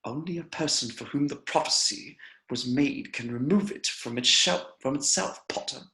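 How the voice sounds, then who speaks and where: A man voicing a menacing, haughty dark wizard henchman with sneering, hissed lines in an amateur table read. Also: mid-range voice, normal speed. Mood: smug, sneering.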